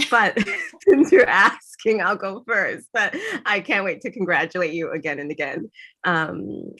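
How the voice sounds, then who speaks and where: Low gravelly woman from zimbabwe, thoughtful songwriter chat. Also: a bit bright. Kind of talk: conversational interview.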